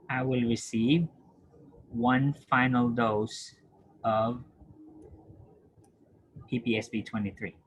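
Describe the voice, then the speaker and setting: Brief: male nursing lecturer, patient and repetitive, mid-pitched voice, light hiss on the recording. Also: low-quality recording. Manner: patient, repetitive, checks understanding with questions; frequent throat clearing and restarts. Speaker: nursing instructor. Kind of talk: recorded lecture over screen share.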